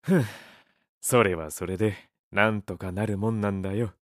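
A man's voice: lowish voice, steady tone, normal speed, dry recording, clean studio sound, close to an everyday voice. Man acting blunt, cheeky middle-aged cafe owner. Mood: offhand. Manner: blunt, offhand, retorting. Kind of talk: Japanese audio drama acting.

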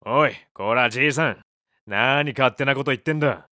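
A man's voice: mid-range voice, moderate pace, sharp tone, dry recording, studio quality, natural voice. Man acting blunt, cheeky middle-aged cafe owner. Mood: retorting. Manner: blunt, offhand, retorting. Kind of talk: Japanese audio drama acting.

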